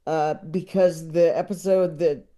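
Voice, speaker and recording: A man chatting casually as a podcast guest, talking in a halting, rambling way. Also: on the high side, steady tone, warm tone. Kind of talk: casual interview chat.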